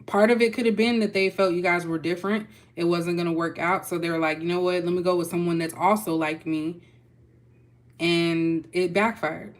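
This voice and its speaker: Casual young female reader with a Texas twang, low-pitched, folksy and loose. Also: fairly even intonation, moderate pace. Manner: chatty, loose, folksy with y'all. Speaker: tarot reader. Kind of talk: casual spiritual reading.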